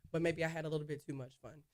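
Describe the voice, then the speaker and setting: A woman hosting a sports talk show solo, chatting casually with listeners. She calls them y'all, and her voice is slightly hoarse. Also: low voice.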